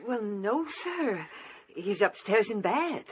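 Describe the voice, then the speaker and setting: An actress playing a fond, deferential housekeeper of a country house, fussing gently in a radio drama. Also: female voice, mid-range voice, very animated, slow, slight background noise. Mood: worried.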